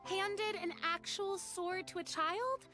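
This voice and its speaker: A woman voicing a bubbly, expressive young woman who is easily amazed and likes to tease. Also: extremely high pitch, takes it slowly, soft background music, exaggerated voice. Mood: incredulous. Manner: exclamatory, teasing, expressive. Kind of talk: game cutscene voice acting.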